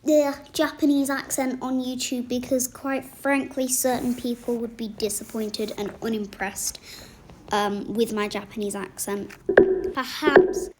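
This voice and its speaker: A woman's voice: on the high side, level tone, a little slow, darkish tone, a little hiss or hum, poor audio. A woman hosting a casual tech tutorial, chatty and playful, wandering into asides as she walks through apps.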